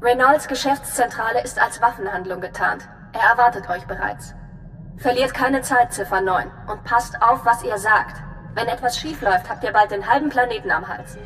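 An actress playing a composed, businesslike intelligence officer giving a precise, measured briefing in a video game. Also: female voice, a bit bright, faint music behind. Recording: long reverb, faint rumbling noise.

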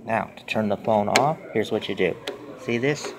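A man explaining something step by step in plain, halting, repetitive short phrases, like a simple tutorial. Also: lowish voice. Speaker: tutorial presenter. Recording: noisy handheld phone recording with clicks.

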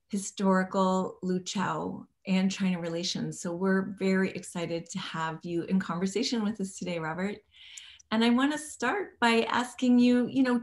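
A woman hosts an interview over a video call, with a mid-pitched voice. She speaks conversationally and often gropes for her words with "um" and "you know". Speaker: host.

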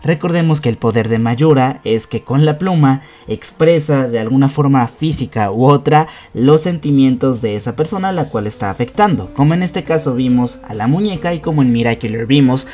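A man's voice: mid-range voice, normal speed, hardly any pauses, dark tone. A young adult man hosting a narrated countdown breakdown, explaining conversationally with emphasis. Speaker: YouTube host. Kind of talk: narrated cartoon episode breakdown, countdown list. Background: background music.

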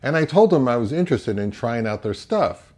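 An American man in his fifties presents a review in an emphatic, opinionated way. His medium-pitched voice moves in lively swings as he puts rhetorical questions and answers them himself. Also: fairly quick. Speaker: audio reviewer and host. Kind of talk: semi-scripted product review to camera. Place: small indoor home office.

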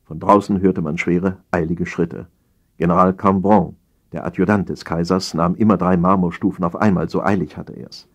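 A man reads a satirical story. His voice is low and husky, and he delivers it as a dramatized audiobook. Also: steady tone, moderate pace. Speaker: audiobook narrator.

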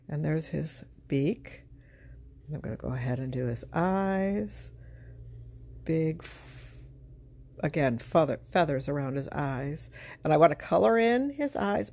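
A middle-aged woman giving an informal drawing lesson, thinking aloud in a halting, unhurried, conversational way as she works. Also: sits low, vocal fry, a little mellow, slightly rough. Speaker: art teacher. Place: indoors at a drawing table.

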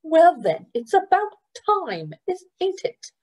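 A woman's voice: very high voice, huge pitch swings, slow pace, a little mellow, pitched above the performer's own voice, full character voice, poor audio. A woman reading aloud, voicing a bossy, snappish foster mother who scolds sharply. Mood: scolding.

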